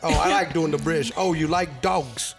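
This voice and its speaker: A man's voice: fairly high pitch, moderate pace, vocal fry, over music. A man co-hosting a podcast, joking around and trying out silly accents in casual chat. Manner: playful, laughing, hamming up silly accents. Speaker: podcast co-host.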